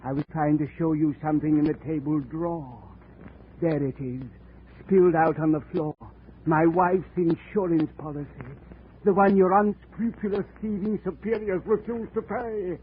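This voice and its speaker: An actor playing a smug, formal, indignant murder suspect in a 1940s radio drama. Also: male voice, a little high, steady tone, moderate pace, natural voice. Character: smug, paranoid murder suspect, a widower, pleading when cornered. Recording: noisy, tinny 1940s radio recording.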